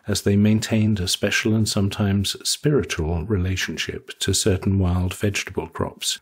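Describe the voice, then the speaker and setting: Audiobook narrator: man, deep pitch, strongly raspy, scripted storytelling read.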